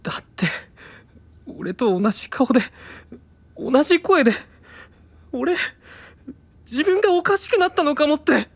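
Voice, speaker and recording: A man playing a weary, gentle young knight who doubts himself, with subdued, hesitant delivery in a fantasy audio drama. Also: very high voice, sing-song, unhurried, pauses often, no room sound, heavily acted. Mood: troubled, hesitant.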